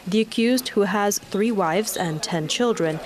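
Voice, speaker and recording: A woman with a Malaysian accent reading scripted news as an anchor, in a formal, measured delivery. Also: medium pitch. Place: TV news studio.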